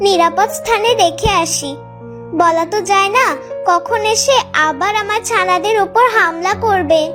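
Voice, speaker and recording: A woman's voice: very high voice, unhurried. A woman voicing a small, anxious, motherly sparrow in a children's cartoon, with a worried dramatic manner. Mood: alarmed. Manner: pleading, dramatic worry. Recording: music behind the voice.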